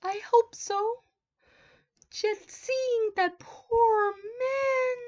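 A woman's voice: extremely high pitch, fairly even intonation, extremely slow, darkish tone, heavily acted. A woman playing a deferential young hospital nurse in a radio play, speaking dramatically on a muffled recording. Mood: upset.

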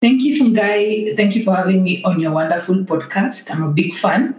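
A woman's voice: medium pitch, animated, moderate pace, a little mellow. Female interview guest, Kenyan accent, conversational, recorded roomy and slightly dull. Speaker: podcast guest, tech activist and executive director. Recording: roomy, dull lo-fi call-like sound.

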